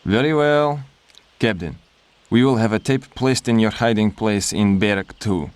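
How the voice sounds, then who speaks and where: A man plays a suspicious Polish army officer in a game cutscene. His voice is low, and he speaks curtly and with stiff formality, severe and wary. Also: unhurried, clear, resonant tone, no room sound, close to an everyday voice. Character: distrustful, severe Polish army major, escape leader. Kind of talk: video game cutscene acting.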